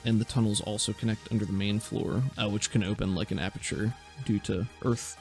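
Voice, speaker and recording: Man game master with slightly creaky voice. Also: fairly low pitch, steady tone, fairly quick, a little mellow, soft background music. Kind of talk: improvised tabletop game narration, conversational.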